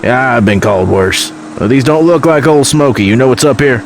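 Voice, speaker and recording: An actor playing a wry, cool-headed long-haul trucker who talks in folksy slang. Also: male voice, medium pitch, lively intonation, brisk, creaky. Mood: businesslike radio talk. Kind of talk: naturalistic audio drama dialogue. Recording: noisy truck cab with engine. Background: vehicle engine.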